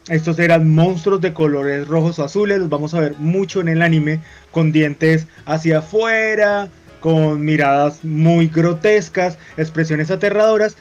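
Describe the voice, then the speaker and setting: A man from Colombia, co-hosting a talk show, explaining at length with drawn-out hesitations. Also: a little high. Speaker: co-host. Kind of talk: casual panel chat. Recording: dull lo-fi sound.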